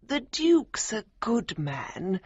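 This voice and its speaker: Polite, husky old hotel lady, soft and slow, male narrator voicing, lo-fi. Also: high-pitched, big pitch swings, no echo, pitched above the performer's own voice, heavily acted. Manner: soft, courteous, gently reproving. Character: polite, excitable old woman who runs a hotel. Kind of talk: audiobook character voicing. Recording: dull lo-fi recording.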